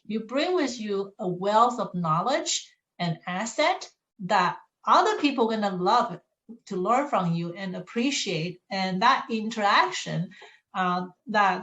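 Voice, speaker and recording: A middle-aged woman for whom English is a second language, moderating a college panel in a warm, reassuring manner with frequent restarts. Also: medium pitch. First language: not English. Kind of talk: encouraging panel talk. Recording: echoey room.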